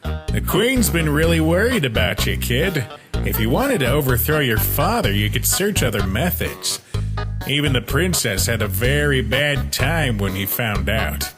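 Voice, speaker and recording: A cheeky skeleton knight played by a man in a fan-dubbed comic. He talks slowly and bluntly, with lively, swinging intonation and a teasing edge. Music plays clearly behind him. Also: mid-range voice. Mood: scolding. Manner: blunt, taunting, unhurried. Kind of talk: fan-dub comic voice acting. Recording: voice over background music.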